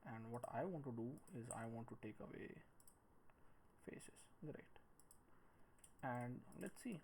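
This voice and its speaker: A man in his thirties giving a screen-recorded tutorial, thinking aloud in a halting, musing way as he works. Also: mid-range voice, expressive, a little mellow. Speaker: 3D modelling tutorial presenter. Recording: noisy room with clicks and typing.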